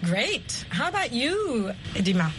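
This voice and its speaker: A woman hosting an English lesson show, explaining simple words deliberately and chatting with guests. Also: fairly high pitch, very animated, noisy background. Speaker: host and English teacher. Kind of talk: slow, clearly explained language lesson chat.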